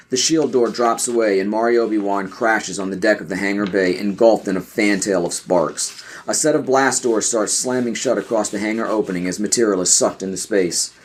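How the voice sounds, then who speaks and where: A man doing scripted narration for a podcast audio play, reading out stage directions. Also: lowish voice.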